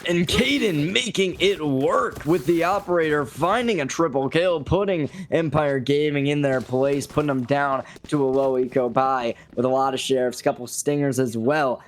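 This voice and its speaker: Male esports play-by-play caster, higher-pitched voice, excitable and dramatic delivery. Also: moderate pace, hardly any pauses, a little hiss or hum. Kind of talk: live game commentary.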